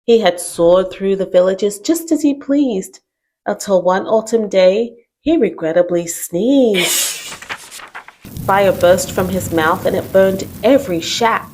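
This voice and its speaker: A woman hosting a children's storytime, reading a rhyming picture book aloud gently and expressively. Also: medium pitch, slow pace, low-quality recording. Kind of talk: rhyming read-aloud for kids.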